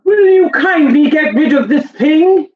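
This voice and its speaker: A woman playing a scornful, mocking jungle animal in a children's storybook, loud and jeering, on muffled audio. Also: high-pitched, expressive, a little slow. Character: shouting, scornful, mocking jungle monkey and bird bully. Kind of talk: children's storybook read-aloud.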